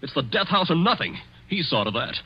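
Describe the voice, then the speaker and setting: An actor playing a young murder suspect, earnest, anguished and bitterly fatalistic, in a 1940s radio suspense drama. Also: male voice, on the high side, very animated, a little fast, slightly rough. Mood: bitter despair. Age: young adult. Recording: dull 1940s radio recording.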